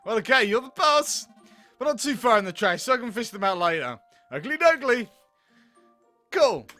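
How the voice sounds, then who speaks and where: A man's voice: extremely high pitch, very animated, normal speed, sharp tone, dry recording, soft background music. A man reading a visual novel aloud in a theatrical, silly, playful way, as a gaming video host.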